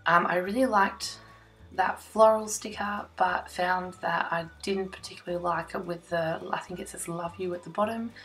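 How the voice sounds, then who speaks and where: A young woman, croaky after laryngitis, chatting casually with lots of ums as she narrates her scrapbooking. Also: lowish voice, fairly even intonation, normal speed, a bit bright, faint music behind, low-quality recording. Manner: chatty, self-conscious, with frequent ums. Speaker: scrapbooking vlogger. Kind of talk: casual crafting voiceover. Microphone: voice-over recorded separately from the filmed table.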